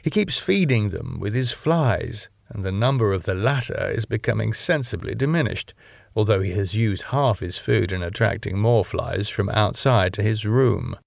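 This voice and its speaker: A man narrating a classic novel as an audiobook in a measured, expressive, dramatic way. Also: fairly low pitch, vocal fry, no echo, studio quality.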